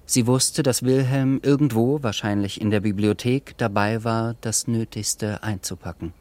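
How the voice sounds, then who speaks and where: A man's voice: fairly low pitch, fairly even intonation, normal speed, a little mellow, no echo. A man narrating a radio play in a scripted, literary style. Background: music.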